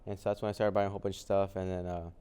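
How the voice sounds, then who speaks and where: A young man from Mexico, a native Spanish speaker, answering interview questions in a relaxed way, full of "um" and "like". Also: deep voice, very fast, smooth. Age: young adult. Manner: relaxed, many fillers like "um" and "like". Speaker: guest, vintage clothing reseller. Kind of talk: casual interview conversation.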